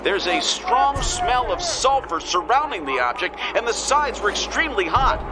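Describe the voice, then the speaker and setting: An actor playing an uneasy field reporter giving a live news report in a radio drama over loud outdoor noise. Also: male voice, high voice, sing-song, moderate pace. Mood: tense reporting. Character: male field TV reporter, uneasy, then panicked. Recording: noisy outdoor location sound.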